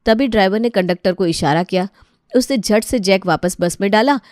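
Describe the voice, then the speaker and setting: A woman narrates a scripted family story. Her voice sits at a medium pitch. The recording is close and dry, without room sound. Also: moderate pace, darkish tone, dry recording.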